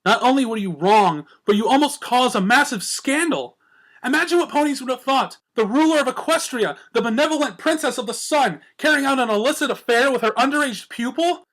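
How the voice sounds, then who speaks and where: A man's voice: very high voice, lively intonation, a little fast, a bit bright, voice pushed up, full character voice, low-quality recording. A man voicing a bookish, sensible young female unicorn who reasons in an exasperated way, in a cartoon fan story. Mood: curt, indignant. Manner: curt, pleading, reasoning.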